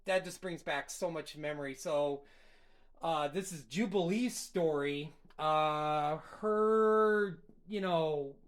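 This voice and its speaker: A man hosts a relaxed solo chat, rambling eagerly with plenty of fillers. His voice sits a little on the high side. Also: takes it slowly. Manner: rambling and enthusiastic, many fillers. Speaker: host. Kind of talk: casual solo chat about a cartoon rewatch.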